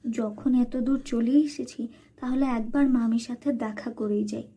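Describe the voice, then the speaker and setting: A woman's voice: fairly high pitch, steady tone, moderate pace, warm tone, slightly rough. A woman voicing an earnest, trusting young village girl in a children's cartoon, speaking in a polite, eager way.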